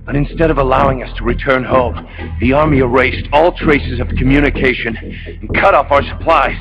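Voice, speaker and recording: A man's voice: mid-range voice, moderate pace, natural voice. Bitter, defiant Soviet soldier acted by a man. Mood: defiant, fervent. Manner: impassioned, declamatory. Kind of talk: video game cutscene acting. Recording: dull lo-fi game capture. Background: loud music.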